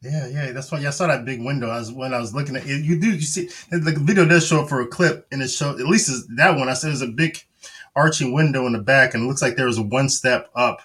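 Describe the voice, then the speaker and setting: A man's voice: medium pitch, quick pace, a bit bright. A man hosting a live stream, chatting casually, affirming his guest and reading out viewer comments.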